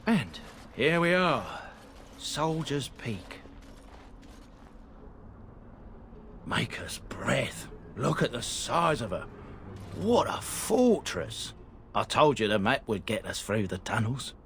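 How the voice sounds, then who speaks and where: Animated, chatty, uneasy merchant guide. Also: male voice, medium pitch, slow pace, slight background noise, exaggerated voice. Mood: awed, defensive. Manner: garrulous, informal. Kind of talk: fantasy video game dialogue.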